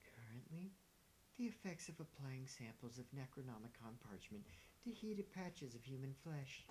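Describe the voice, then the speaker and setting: A deadpan scientist character from a visual novel game, put on by a reader, speaking formally with a widely swinging pitch. The delivery is clinical and matter-of-fact, over a faint hiss. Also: normal speed, natural voice, poor audio. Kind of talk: character voices read aloud for a visual novel.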